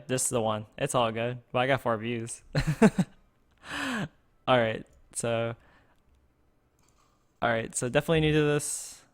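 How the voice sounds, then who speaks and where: Guy doing his first game stream, smooth clear voice, talks half to himself with lots of ums, mic right up close. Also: mid-range voice. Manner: thinking aloud, halting with fillers. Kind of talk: casual gameplay streaming. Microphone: close desk microphone.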